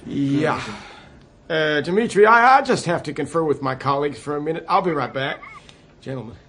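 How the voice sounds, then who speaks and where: An actor playing a flustered, placating American President on a hotline call in a satirical radio play, halting and stammering politely. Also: male voice, a little high, animated, fairly bright tone. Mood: anxious, exasperated. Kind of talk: satirical radio comedy drama.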